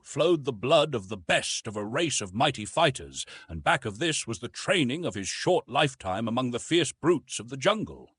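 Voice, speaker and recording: A man narrating a classic adventure novel as an audiobook, with expressive, dramatic storytelling delivery. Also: mid-range voice, husky, no room sound, studio quality.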